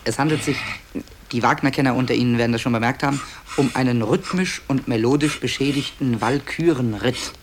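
A man's voice: medium pitch, a little fast, noisy background. Musing, wry male radio narrator. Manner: musing, hesitant, wry asides. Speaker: author-narrator presenting tapes to listeners. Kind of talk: conversational radio play narration.

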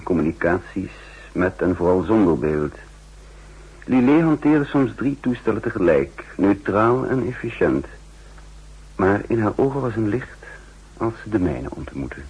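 A thoughtful, troubled double agent in a 1960s radio drama. The voice is low and very husky, with measured, reflective delivery. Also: male voice, a little mellow, muffled recording, heavily acted. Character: thoughtful, troubled male double agent narrating his story. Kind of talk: 1960s Dutch radio play acting and narration.

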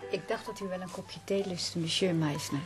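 An actress playing a poet's homely, chatty wife in a radio play, fond and lightly chiding. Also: female voice, fairly low pitch, normal speed, music in the background, close to an everyday voice. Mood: hospitable.

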